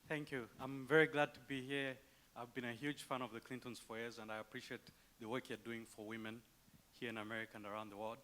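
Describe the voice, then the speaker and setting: A man's voice: medium pitch, little pitch movement, fast, sharp tone. A man from Zambia with a Zambian accent, speaking from a conference audience in a polite, upbeat and eager way. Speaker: audience member. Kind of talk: audience comment.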